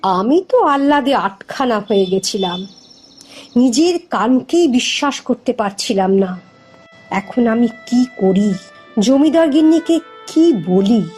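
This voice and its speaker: A woman's voice: fairly high pitch, darkish tone. An actress voicing an anxious, long-suffering old village mother in a fairy-tale cartoon, fretful and pleading.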